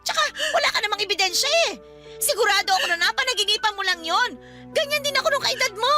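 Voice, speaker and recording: A woman's voice: very high voice, lively intonation, fast, loud music behind the voice. A woman playing a harsh, disbelieving middle-aged aunt who scolds emphatically in a Filipino radio drama, with a Filipino accent. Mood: dismissive. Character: harsh, disbelieving aunt fiercely defending her son.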